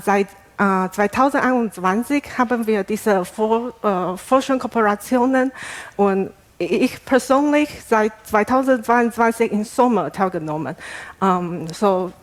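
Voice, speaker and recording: Halting Taiwanese-accented woman giving a talk. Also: mid-range voice. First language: Chinese. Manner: halting, hesitant non-native delivery. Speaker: researcher presenting at a conference. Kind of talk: conference presentation.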